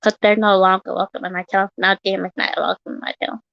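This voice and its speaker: A woman in her thirties rambles bluntly on a livestream, repeating herself and swearing freely. Her voice is gravelly with plenty of fry and a touch hoarse from earlier yelling. The sound is dry and somewhat muffled and lo-fi. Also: mid-range voice, little pitch movement, fast, dry recording. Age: 30s. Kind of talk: casual livestream rant.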